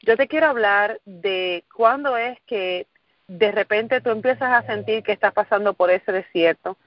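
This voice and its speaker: A woman's voice: medium pitch, no echo, lo-fi sound. Earnest Puerto Rican woman motivator. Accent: Puerto Rican Spanish. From Puerto Rico. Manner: earnest, direct, repeats phrases for emphasis. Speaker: motivational speaker. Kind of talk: motivational sales talk. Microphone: recorded call or conference line.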